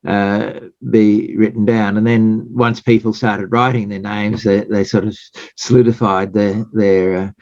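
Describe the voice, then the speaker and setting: An Australian man answers interview questions in a low voice, measured and hesitant, filling his pauses with uhs. The sound is dry and close, with a muffled, lo-fi quality. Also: flat delivery, a little mellow, no echo. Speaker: guest. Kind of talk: casual interview chat.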